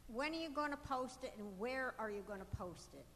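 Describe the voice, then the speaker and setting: A woman resident at a community meeting, asking loud, pressing questions. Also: fairly high pitch, expressive, a little fast, heavy background noise. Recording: reverberant hall, faint background.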